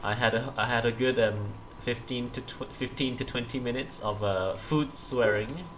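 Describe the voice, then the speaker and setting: A man's voice: medium pitch, moderate pace, fairly bright tone, slight background noise. A man co-hosting a casual gaming livestream, groggy from just waking up, who laughs often and restarts his sentences. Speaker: streamer co-host.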